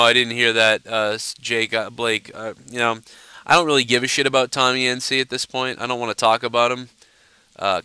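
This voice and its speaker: A young man hosting a live stream and answering viewer questions in a loose, profane, blunt way, his nose a bit stuffed up so he sounds slightly nasal. His voice is bright and clean, and the recording is dry, with no room echo. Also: mid-range voice, smooth. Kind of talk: casual live-stream chat.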